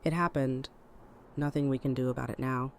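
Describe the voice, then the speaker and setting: A woman's voice: extremely low pitch, fairly even intonation, brisk, slight background noise. A woman acting a weary, guarded, plain-spoken woman. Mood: weary, sad. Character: weary, tough middle-aged woman, guarded, grieving. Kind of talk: audio drama acting.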